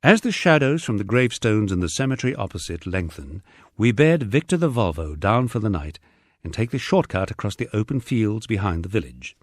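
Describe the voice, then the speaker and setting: A man narrating a first-person memoir in a measured, storytelling way, as an audiobook reader. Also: lowish voice, sing-song, no echo.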